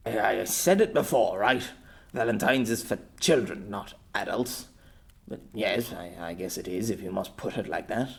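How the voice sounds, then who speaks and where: A man's voice: lowish voice, expressive, moderate pace, creaky. A man voicing a stuffy, proud old former knight with a formal, hesitant manner, in an audiobook story. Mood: awkward, wistful.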